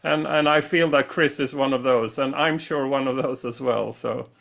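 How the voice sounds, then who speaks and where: A man hosting a casual, unscripted interview, speaking in a halting, effusive way. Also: mid-range voice, steady tone, vocal fry, a bit bright. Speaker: interview host.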